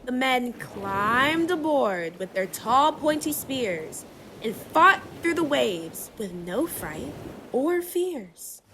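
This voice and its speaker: A woman reading a children's book aloud as expressive, rhythmic, dramatic storybook narration. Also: a little high, slight background noise. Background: music.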